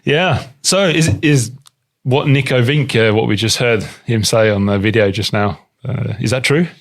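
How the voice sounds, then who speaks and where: A man's voice: mid-range voice, moderate pace, creaky. A man with a Norwegian accent hosting a podcast, asking loose, rambling questions with many restarts. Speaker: podcast host. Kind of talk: casual podcast interview.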